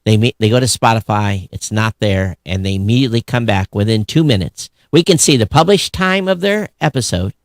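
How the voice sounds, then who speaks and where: A man's voice: lowish voice, expressive, a little fast, hardly any pauses, no room sound, clean studio sound. A man co-hosting a podcast, giving casual commentary on tech news and repeating phrases for emphasis. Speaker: podcast co-host.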